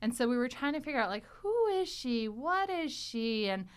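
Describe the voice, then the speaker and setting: A woman hosting a casual panel chat, an animated storyteller who acts out the lines she quotes. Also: fairly high pitch, normal speed, clean tone. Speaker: podcast host and discussion leader.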